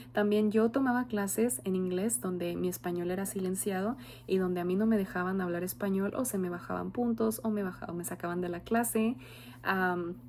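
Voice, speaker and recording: A young Mexican woman in her twenties gives a class presentation in a mellow, warm tone. She talks earnestly and thoughtfully, pausing on soft "em" and "am" fillers as she gathers her points. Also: medium pitch, a little hiss or hum. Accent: Mexican Spanish. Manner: earnest, reflective, frequent "am" and "em" fillers. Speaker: university student.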